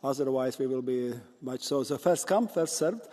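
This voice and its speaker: A man chairing a parliamentary debate, halting and full of fillers and restarts. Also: mid-range voice, animated, warm tone, big-room sound. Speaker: session chair.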